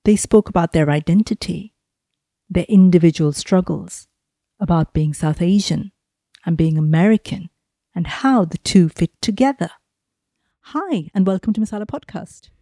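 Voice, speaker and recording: A woman's voice: on the low side, moderate pace, mellow, no echo, clean studio sound. A woman hosting a podcast, reading a scripted intro and then asking interview questions with enthusiasm. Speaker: podcast host.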